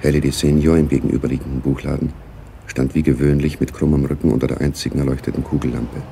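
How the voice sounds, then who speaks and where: A man narrating a spy radio drama gravely, with a deep, gravelly voice. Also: level tone, fast, warm tone, a little hiss or hum.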